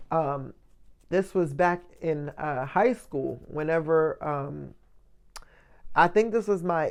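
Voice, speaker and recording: A man with a light, soft voice giving a casual motivational monologue as a vlogger, often checking in with the listener. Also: a little high, smooth. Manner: conversational, checks in often with "right?" and "you know".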